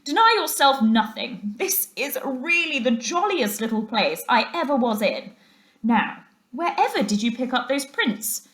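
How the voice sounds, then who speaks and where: A cheerful water rat from a storybook, played by a woman, taking charge like a good-hearted chum. The delivery is hearty and bustling, lifted slightly in pitch, with quaint old-fashioned phrasing. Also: very animated, a little slow, fairly bright tone, exaggerated voice. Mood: jovial.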